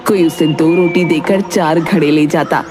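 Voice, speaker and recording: A woman's voice: low-pitched, fairly even intonation, unhurried, music in the background. A woman narrating a scripted moral story for children as a storyteller.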